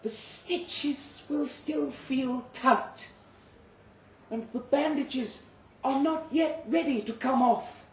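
A man voicing a sinister, condescending Frankenstein's monster doctor in a dramatic story reading, rasping, precise and deliberate. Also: very high-pitched, a little hiss or hum, pitched above the performer's own voice, full character voice. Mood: brisk reassurance. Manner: slow, deliberate, precise, patronising. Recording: echoey live room.

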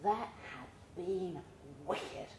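A woman reading fiction aloud at a live event in an expressive storytelling style. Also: on the low side, very slow. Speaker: short story reader at a live literary event. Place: indoor pub event room. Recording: roomy pub recording with faint noise.

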